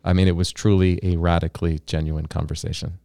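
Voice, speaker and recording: A man's voice: deep voice, little pitch movement, quick pace, gravelly, dark tone, no room sound, studio quality. A middle-aged man hosting a podcast in a friendly, playful way, laughing often. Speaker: podcast host. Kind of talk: casual interview chat.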